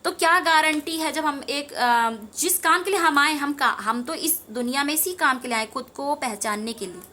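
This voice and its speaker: A woman's voice: high-pitched, moderate pace, pushed and bright. A woman giving an informal spiritual talk to viewers, earnest and rambling, repeating phrases for emphasis. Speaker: tarot reader and meditation teacher.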